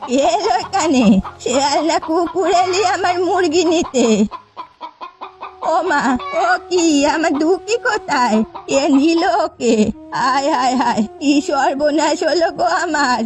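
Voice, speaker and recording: A woman's voice: sits high, unhurried, over music. A woman voicing a feisty, doting old village woman in a folk-tale cartoon, with a dramatic, wailing and scolding delivery. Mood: distraught, indignant.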